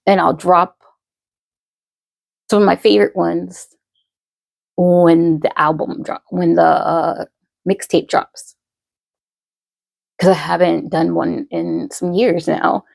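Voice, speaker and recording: A woman in her thirties talks casually to her followers, rambling and restarting her sentences. Her voice sits a little low and is thick with gravelly fry. She speaks very slowly into a close microphone with a dry, dead sound. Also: fairly even intonation, a little mellow, no room sound. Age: 30s. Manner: slow, rambling, many fillers and restarts. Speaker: poet and podcaster.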